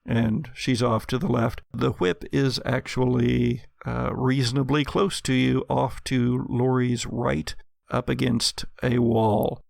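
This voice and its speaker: A man runs a tabletop horror game as its storyteller, addressing players in vivid, dramatic second person. He speaks at an unhurried pace, with a gravelly creak in his voice. The sound is very dry. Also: medium pitch, steady tone, no echo. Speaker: game master.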